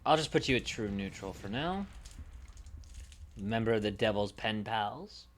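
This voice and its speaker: A man streaming casually, thinking aloud in a musing, hesitant way with pauses mid-thought. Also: lowish voice, lively intonation, a little hiss or hum.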